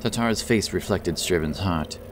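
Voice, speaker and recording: A man's voice: low voice, normal speed, a little hiss or hum. A man narrating a fantasy audiobook as a scripted dramatic story, with intense, theatrical delivery.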